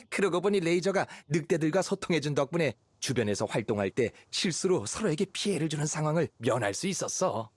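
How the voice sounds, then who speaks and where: An actor playing a kindly, fatherly middle-aged hunter in an anime game, speaking in a measured, reassuring way. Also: on the high side, animated, breathy edge. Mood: pleased, relieved.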